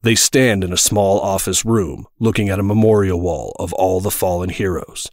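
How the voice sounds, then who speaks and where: A man's voice: low voice, steady tone, moderate pace, dry recording, studio quality. A man reading scripted narration as the narrator of an audio drama.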